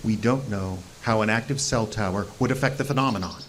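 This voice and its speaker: A man's voice: fairly low pitch, fairly quick, a little hiss or hum, lightly acted. A man acting the part of an earnest, insistent fringe researcher in an audio drama. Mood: urgent, insistent. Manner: insistent, excitable. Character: earnest, agitated male fringe researcher obsessed with the unexplained.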